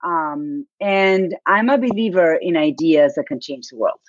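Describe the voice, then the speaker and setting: A woman's voice: low voice, lively intonation, a little slow, no echo. Argentine woman in her forties, talkative. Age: 40s. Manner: warm storytelling with frequent fillers and laughs. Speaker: guest, business coach. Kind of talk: interview conversation. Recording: dry, dull lo-fi audio.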